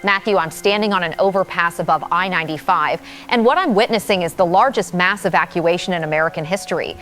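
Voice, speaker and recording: A woman's voice: fairly low pitch, fast talker, fairly bright tone, lightly acted. A woman playing an uneasy field reporter in an apocalyptic audio drama, giving a serious, articulate news report over music. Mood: tense, troubled.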